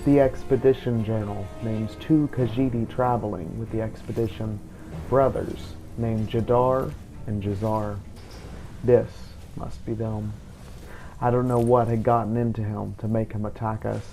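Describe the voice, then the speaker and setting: A man narrating a game playthrough in character, as a scripted story told in a grave, measured manner. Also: lowish voice, fairly even intonation, vocal fry, warm tone, faint music behind, rough recording.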